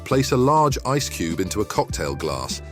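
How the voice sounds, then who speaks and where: A man reading a scripted cocktail recipe segment in a measured way. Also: on the low side, big pitch swings. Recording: faint music underneath.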